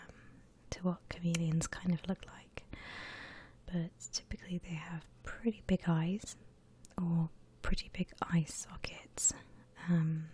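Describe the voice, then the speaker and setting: A woman gently whispers an ASMR drawing tutorial in a breathy voice, explaining each step without hurry. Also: lowish voice, a little hiss or hum.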